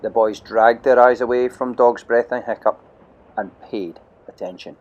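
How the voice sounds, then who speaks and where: A man narrating a children's storybook audio play with lively, expressive storytelling. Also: medium pitch, normal speed, highs cut off.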